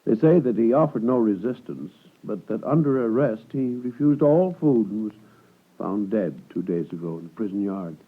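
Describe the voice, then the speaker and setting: An actor playing a deferential, fussy law clerk in a 1950s radio drama, formal, hesitant and obsequious. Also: male voice, lowish voice, animated, moderate pace, breathy edge. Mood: hesitant, regretful. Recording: tinny vintage 1950s radio broadcast.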